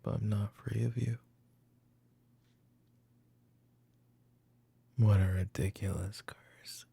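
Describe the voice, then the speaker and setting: A man playing a weary, grief-haunted mafia boss in roleplay ASMR, breathy and sighing, often slipping into a whisper. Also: fairly low pitch, warm tone, no echo, heavily acted. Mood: shaken, bitter.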